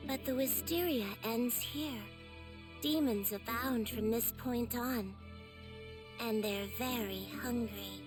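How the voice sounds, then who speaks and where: A solemn, eerily composed girl, speaking formally and slowly. She is a detached anime game guide, with clear music playing behind her voice. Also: a little high. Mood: solemn, calm.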